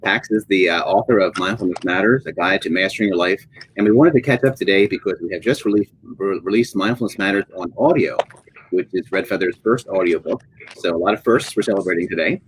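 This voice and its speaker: A man with a fairly low voice hosts an online author interview. His phrasing is halting and hesitant, and there is faint noise behind him. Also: low-quality recording. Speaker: interviewer, moderator. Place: live online video event.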